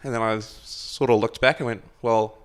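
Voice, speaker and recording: A man's voice: medium pitch, fairly even intonation, normal speed, clear, resonant tone. A young man from New Zealand with a local accent, telling casual anecdotes as a podcast guest in a laid-back, self-deprecating, sweary way. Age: young adult.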